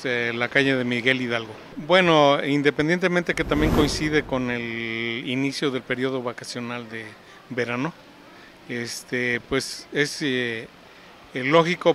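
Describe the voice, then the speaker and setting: Mexican man, bright voice, halting with fillers, outdoor street, faint traffic noise. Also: mid-range voice. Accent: Mexican Spanish. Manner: halting, many fillers and restarts. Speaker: municipal energy-saving director. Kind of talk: on-street interview. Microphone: handheld reporter mic close up.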